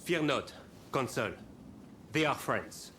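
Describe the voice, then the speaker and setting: An actor playing a protective, resolute French military officer in a video game cutscene. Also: male voice, mid-range voice, slow pace, very noisy, natural voice. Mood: guarded, reassuring.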